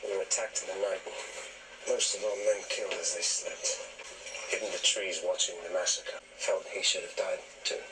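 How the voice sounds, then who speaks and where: Male actor as guarded period-drama soldier: deep-ish, dry, terse, roomy TV sound with music behind. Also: steady tone, moderate pace, natural voice. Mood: grave. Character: male soldier musketeer, guarded, dryly teasing. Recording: roomy, distant TV playback with music.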